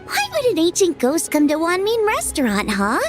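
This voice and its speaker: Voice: very high voice, wildly swooping pitch, moderate pace, exaggerated voice. A woman voicing a cheerful young cook bantering with a friend in a video game cutscene. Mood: amused. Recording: game audio with music behind.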